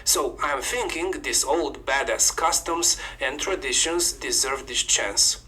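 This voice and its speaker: A man's voice: mid-range voice, slow pace, bright, forceful tone, a little hiss or hum. A man with a Romanian accent narrating a scripted video about folk customs in an upbeat presenter manner.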